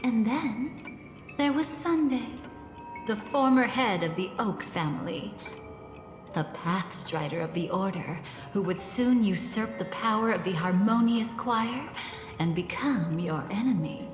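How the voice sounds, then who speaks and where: A woman's voice: medium pitch, expressive, unhurried, close to an everyday voice. An actress playing a seductive, mysterious woman in a video game, speaking in a soothing, teasing, theatrical way as a guide through memories. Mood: storytelling, composed. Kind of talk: scripted game voice acting, story narration. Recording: echoey reverberant hall, music behind.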